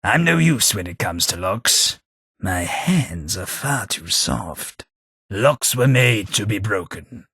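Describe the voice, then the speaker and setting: Man voicing a ghoul aristocrat, English accent, husky low voice, bright edge, slow deliberate pace, prim and sarcastic. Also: lively intonation, no room sound, studio quality, exaggerated voice. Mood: disdainful. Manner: prim, snobbish, wryly sarcastic. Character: posh, sardonic old male ghoul aristocrat. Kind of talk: game companion voice lines.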